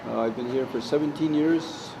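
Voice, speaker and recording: A man's voice: medium pitch, normal speed, vocal fry, noisy background. A man, an inmate serving a life sentence, hesitantly stating facts about himself for a documentary. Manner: hesitant, starting with "uh". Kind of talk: documentary interview.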